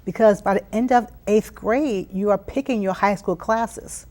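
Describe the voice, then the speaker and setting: A woman's voice: mid-range voice, unhurried, a little mellow. An American woman giving conversational interview answers as a program leader, earnest and emphatic, often repeating phrases for weight. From Philadelphia, United States. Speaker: interview guest, youth STEM program leader.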